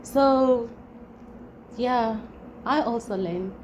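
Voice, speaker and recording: A woman's voice: a little high, very slow, muffled recording. A South African woman whose first language is Xhosa, a guest and former teacher, chatting reflectively and repeating her key phrases. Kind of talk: casual chat. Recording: clear background noise.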